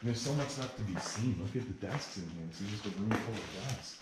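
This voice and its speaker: A young man with a fairly low voice talks casually as he explores a large abandoned building, turning often to address viewers. The audio comes from a body-worn camera and carries clear background noise. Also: brisk. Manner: chatty, addresses viewers directly, excited pointing. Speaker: urban explorer host.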